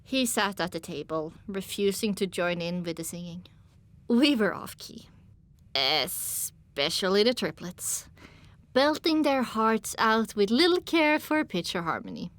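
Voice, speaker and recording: A woman's voice: medium pitch, big pitch swings, a little slow, a bit bright. A woman reading scripted first-person story narration as an audiobook narrator.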